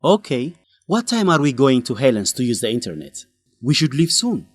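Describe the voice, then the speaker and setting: A man's voice: medium pitch, big pitch swings, normal speed, no echo. A man acting as a determined, indignant young student reporter in a radio drama, blunt and emphatic. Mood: indignant, frank. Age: young adult. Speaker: actor in an educational radio drama.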